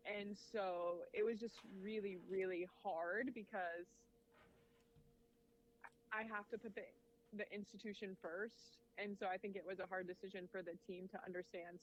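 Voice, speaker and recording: A woman in her thirties, a college volleyball coach, answers interview questions in a thoughtful, measured way and laughs easily. Her voice sits at a middle pitch. The audio is dull and lo-fi, with a faint hiss underneath. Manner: reflective and measured, with easy laughs. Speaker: head volleyball coach, podcast guest. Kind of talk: casual interview conversation.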